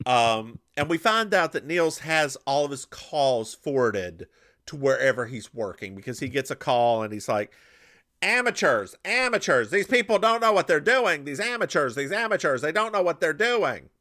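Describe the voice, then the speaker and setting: A man's voice: a little high, moderate pace. A man co-hosting a podcast, chatting casually as he recaps a TV episode and acts out the characters' lines. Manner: animated, puts on voices for characters. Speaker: podcast co-host.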